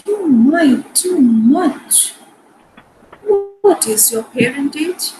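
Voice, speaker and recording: A woman acting a proud, sharp-witted noble lady in a Shakespearean dramatic reading, with an arch, haughty manner. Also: sits high, expressive, drawn-out words, faint music behind, full character voice, poor audio. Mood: proud, firm.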